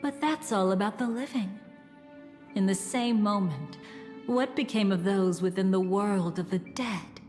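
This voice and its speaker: A woman playing a poised, enigmatic game character with a measured, theatrical, teasing delivery and quiet menace. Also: medium pitch, long reverb tail, faint music behind, lightly acted. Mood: solemn storytelling. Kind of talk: dramatic video game narration and dialogue.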